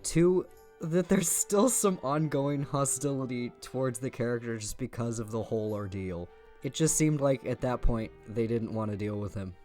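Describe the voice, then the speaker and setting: A man's voice: mid-range voice, darkish tone. A man narrating a scripted video essay in an informal, opinionated way, with casual asides and the odd swear word.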